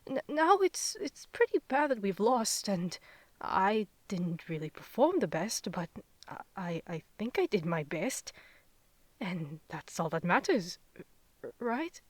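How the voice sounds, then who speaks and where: A woman's voice: medium pitch, very animated, measured pace, no echo. A woman voicing a timid, anxious young cartoon contestant who stammers and hesitates apologetically. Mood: nervous.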